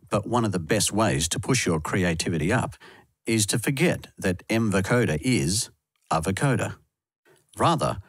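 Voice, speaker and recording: Deep husky male tutorial narrator. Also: no echo, clean studio sound. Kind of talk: scripted instructional narration.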